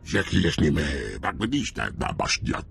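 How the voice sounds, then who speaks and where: A deep gravelly alien warrior from a game, guttural made up language, declaiming stuff. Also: male voice, big pitch swings, brisk, fairly bright tone, faint music behind, exaggerated voice. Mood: solemn challenge. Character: deep-voiced alien male warrior speaking an invented tongue. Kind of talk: video game alien acting.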